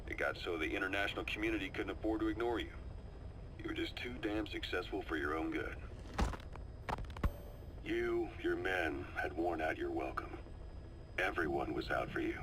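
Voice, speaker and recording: A man playing a seasoned, calm and knowing military adviser in a video game, briefing his commander in a measured, confiding storytelling delivery. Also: low voice, noisy background. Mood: grave, ominous. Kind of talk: video game voice acting, dramatic briefing monologue. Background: music.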